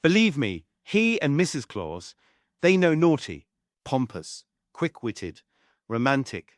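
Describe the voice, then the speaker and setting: A man's voice: medium pitch, wildly swooping pitch, takes it slowly, no echo. A theatrical, self-adoring male trickster, acted by a man at a table read. He takes his time over every phrase, teasing and showboating, in a dry, close recording. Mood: teasing, smug. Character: flamboyant, cocky male trickster with a god complex. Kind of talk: stage script table read.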